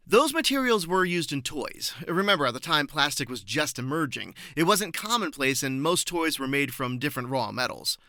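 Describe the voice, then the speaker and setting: A man giving prepared, articulate answers as an interview guest, informative and measured in his phrasing. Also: on the high side, big pitch swings, bright, forceful tone, no room sound, clean studio sound.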